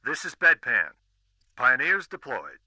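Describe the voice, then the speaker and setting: Sharp, lively unit commander. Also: male voice, medium pitch, measured pace, no echo, exaggerated voice. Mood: assured. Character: brisk field unit commander reporting in. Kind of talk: video game military radio check-ins.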